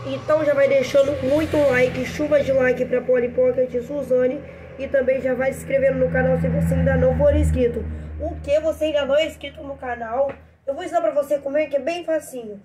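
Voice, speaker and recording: A woman's voice: sits high, steady tone, fairly quick, lightly acted. A girl voicing a talkative girl doll with a cold who hosts a toy play and addresses the viewers, over a noisy home recording.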